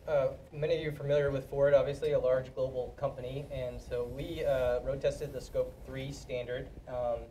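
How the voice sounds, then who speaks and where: Flat, mellow man on a panel, distant. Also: mid-range voice, normal speed, hardly any pauses, a little hiss or hum. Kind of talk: panel discussion.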